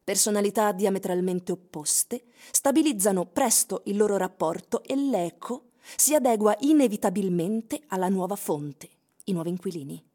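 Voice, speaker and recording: An actress delivering scripted dramatic narration of a tense literary story for a radio play. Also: female voice, mid-range voice, moderate pace, warm tone, close to an everyday voice. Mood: measured, solemn. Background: music.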